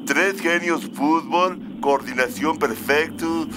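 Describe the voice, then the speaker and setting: Slow, self-assured cartoon soccer player. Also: male voice, a little high, noisy background. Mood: halting, proud. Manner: terse, halting. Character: self-assured, simple male soccer player being interviewed. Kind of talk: Spanish-dubbed cartoon acting.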